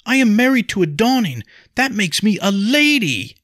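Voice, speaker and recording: A man's voice: low voice, expressive, moderate pace, gravelly, a bit bright, husky, no echo, studio quality, pitched above the performer's own voice, heavily acted. A man voicing a short, chubby serf woman from an audiobook, bitter, scornful and defiant, in a mousy voice.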